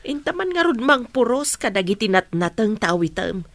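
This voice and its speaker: A woman's voice: a little high, very animated, normal speed, dry recording. A woman playing a kindly, generous village mother in an Ilocano radio drama. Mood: caring, instructing.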